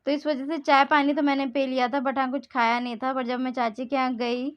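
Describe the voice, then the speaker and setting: A woman's voice: sits high, flat delivery, a little fast, dry recording, muffled recording. A young woman, chatting casually through her vlog and often correcting herself mid-sentence. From India. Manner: chatty, rambling, frequent self-corrections. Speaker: vlogger.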